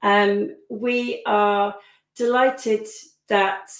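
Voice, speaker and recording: A woman hosting an online webinar, with a hoarse, croaky voice and a chatty manner full of fillers. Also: mid-range voice, flat delivery, very slow, reverberant. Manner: chatty, warm welcomes with frequent fillers. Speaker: webinar host.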